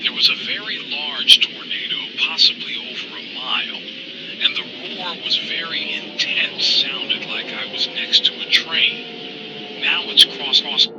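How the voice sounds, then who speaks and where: Actor as male storm spotter, live field report, muffled phone call, loud noise. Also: medium pitch, unhurried. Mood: tense, awed.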